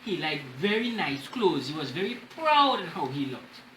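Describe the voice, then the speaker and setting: A man's voice: on the high side, very animated, normal speed, a bit bright. A man with a Trinidadian accent hosts a children's storytime, reading expressively and explaining phrases in simple words. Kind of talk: animated picture-book reading. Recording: roomy echo with faint noise.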